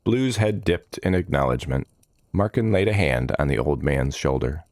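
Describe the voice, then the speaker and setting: Very deep, gravelly male fantasy narrator. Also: expressive, moderate pace, a little mellow, no echo, studio quality. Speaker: storyteller, narrator. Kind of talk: scripted fantasy audiobook narration.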